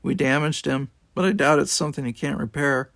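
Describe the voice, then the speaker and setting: Man playing young male cadet, calm, technical, matter-of-fact, unflappable, gravelly, audiobook character. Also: on the high side, fairly quick, no echo, pitched above the performer's own voice.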